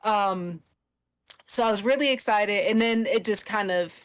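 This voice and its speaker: A woman's voice: mid-range voice, a little slow, clear, resonant tone. A woman podcast panelist chatting casually and emphatically as a fan. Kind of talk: casual chat about a TV show.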